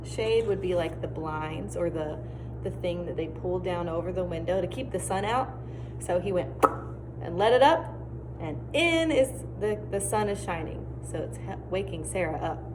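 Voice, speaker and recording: A woman teacher doing an expressive storybook read-aloud for children, pausing to explain and ask questions. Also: fairly low pitch. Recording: clear background noise.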